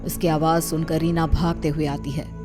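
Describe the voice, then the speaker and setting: A woman's voice: low-pitched, little pitch movement, normal speed. A woman narrating a scripted story, with music behind her voice.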